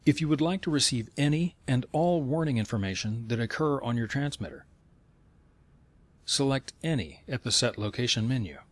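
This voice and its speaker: A man reading scripted instructional narration in a measured, step-by-step way. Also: mid-range voice, expressive, no echo.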